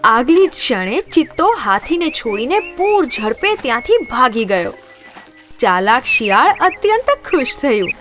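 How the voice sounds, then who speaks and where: A woman's voice: sits high, big pitch swings, unhurried, fairly bright tone, faint music behind. A woman narrating scripted moral stories for children.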